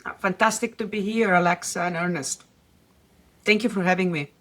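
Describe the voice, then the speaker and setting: A middle-aged woman with a slight Italian accent, from Italy, explaining her career calmly as a guest in a podcast interview, pausing often. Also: lowish voice. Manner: measured, explanatory, with frequent "uh" pauses. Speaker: guest investor.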